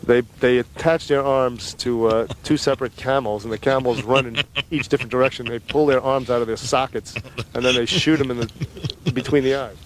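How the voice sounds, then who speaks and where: A man's voice: medium pitch, steady tone, brisk. A man doing an irreverent, slangy street interview for radio, needling with his questions on a field microphone. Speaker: roving radio reporter. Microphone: handheld field microphone. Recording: faint street noise, outdoor field microphone.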